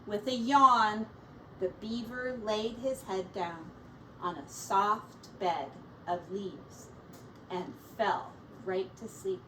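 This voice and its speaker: A woman teacher reading a children's story aloud, explaining things gently and simply as she goes. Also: mid-range voice, takes it slowly, far from the mic, slight background noise, low-quality recording.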